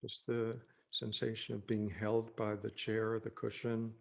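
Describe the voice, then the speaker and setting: Male meditation teacher, creaky low voice, gentle halting delivery, reassuring instruction. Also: level tone. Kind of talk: guided meditation, unscripted spoken instruction.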